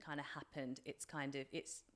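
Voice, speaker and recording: A woman in her forties with a British accent and a low-pitched voice. She speaks as a podcast guest, reflective and informal. Her phrasing is hesitant, circling through small filler phrases as she explains herself. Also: flat delivery, moderate pace, fairly bright tone. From England, from age three. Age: 40s. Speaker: podcast guest, life coach. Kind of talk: reflective personal interview.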